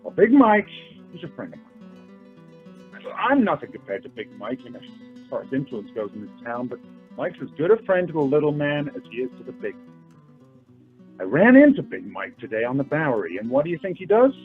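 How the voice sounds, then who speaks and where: A man's voice: medium pitch, very animated, fairly quick, long pauses, slightly rough. A man acting an earnest, hesitant young New York suitor in a radio play, in muffled audio. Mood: awed, boastful. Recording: muffled lo-fi audio with music behind.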